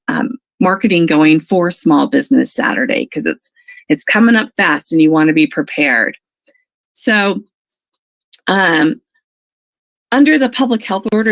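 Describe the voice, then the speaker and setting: A woman presenting an informational webinar in an instructive, encouraging way, her voice froggy and gravelly from allergies. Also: lowish voice, no echo. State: froggy from allergies, clearing throat.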